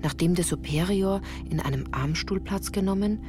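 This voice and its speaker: Female narrator for literary radio play: lowish voice, composed, careful diction, clear music bed underneath. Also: moderate pace. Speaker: first-person narrator.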